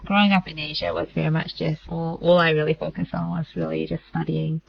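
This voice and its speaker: Lowish-voiced woman from malaysia, chatty and quick with lots of "like", kinda muffled lo-fi sound. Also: flat delivery, creaky, slight background noise. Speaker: founder guest. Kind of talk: casual interview talk.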